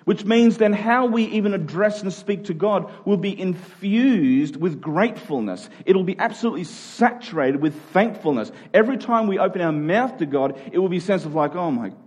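A man's voice: high voice, animated, moderate pace, hardly any pauses, a little mellow, breathy edge. A man preaching a conversational sermon, earnest, repeating key phrases for emphasis.

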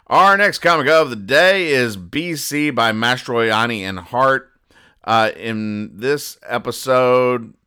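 Bright, clear man, dryly deadpan. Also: lowish voice. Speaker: podcast host. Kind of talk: casual comic-strip recap monologue.

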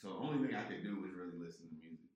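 A young man co-hosting a podcast, telling stories in a lively, laughing way. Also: low voice, very fast, highs cut off. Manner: animated storyteller, laughs often. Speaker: podcast co-host. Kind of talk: casual chat. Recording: very echoey room.

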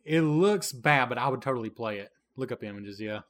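A man's voice: mid-range voice, expressive, a little fast, fairly bright tone. A man co-hosting a casual gaming podcast, running a trivia quiz in a playful, teasing way and laughing often. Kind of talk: casual retro gaming chat.

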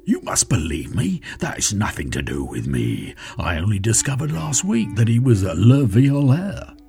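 A man's voice: lowish voice, huge pitch swings, moderate pace, gravelly, warm tone, faint music behind, full character voice. A man voicing a cornered scheming man who growls and pleads. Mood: desperate.

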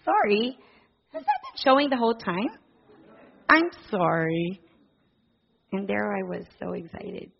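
A middle-aged woman from the Philippines addresses a church congregation as a charity director. She speaks with earnest warmth and a personal touch, dotted with soft fillers. The sound is a little dull. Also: medium pitch, sing-song, measured pace, vocal fry, long pauses, slightly rough. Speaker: director of a family services charity.

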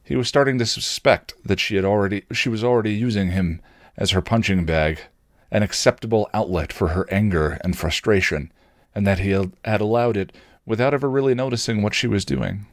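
A man narrates a piece of fan fiction for a podcast. His voice sits somewhat low, and the recording is dry, with little room sound. He reads expressively, as a storyteller. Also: brisk, dry recording.

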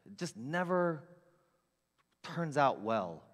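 Guy preaching, kinda high voice, gets loud telling stories on himself, big echoey church. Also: slow pace. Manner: animated, self-mocking storyteller with big swings in loudness. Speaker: pastor. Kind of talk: storytelling sermon. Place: church sanctuary with long reverb.

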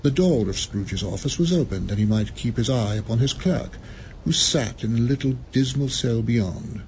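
A man narrates a radio play at a middle pitch, measured and deliberate. He savors the words as he goes. A faint hiss sits under his voice. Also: a little mellow. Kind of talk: scripted dramatic narration.

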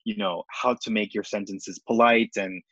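A man's voice: on the low side, steady tone, moderate pace, sharp tone, no echo, lo-fi sound. A young man chatting casually as a guest, speaking hesitantly with many fillers and frequent laughs. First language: not Japanese. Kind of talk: casual chat.

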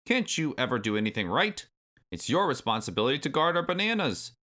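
Storybook role: man performs rough, scolding old granddad ape, bright and forceful, lifted pitch. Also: big pitch swings, fairly quick. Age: older. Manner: grumbling, exclamatory old-timer phrasing.

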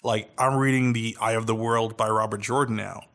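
A man's voice: lowish voice, steady tone, fairly quick, a bit bright. A man co-hosting a podcast, talking casually and thoughtfully, often restarting his sentences as he searches for words. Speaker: podcast co-host.

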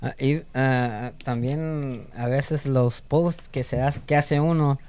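A man's voice: mid-range voice, slow, a little mellow, clear, resonant tone. A man in a casual conversation who often agrees with the speaker and hesitates when he explains his own points. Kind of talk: casual chat.

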